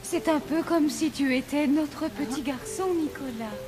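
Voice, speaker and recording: A woman's voice: high voice, a little slow, music in the background. A woman playing a protective, motherly and firm lady in a children's cartoon, with an emphatic delivery. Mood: tender.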